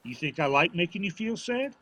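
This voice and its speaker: A man's voice: sits high, big pitch swings, brisk, a bit bright, exaggerated voice. An actor playing a stubborn, proud, plainspoken old father from a small town, folksy and prickly, in an audio drama. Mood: firm, proud. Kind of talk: naturalistic audio drama acting at a live reading. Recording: faint noise of live stage performance.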